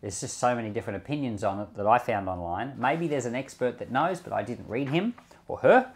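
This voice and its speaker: A male preacher speaks in a mid-pitched voice with lively, wide-ranging intonation. He phrases things in a measured way and leans on key words. The delivery suits scripture reading and informal devotional teaching. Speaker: Bible podcast host and preacher.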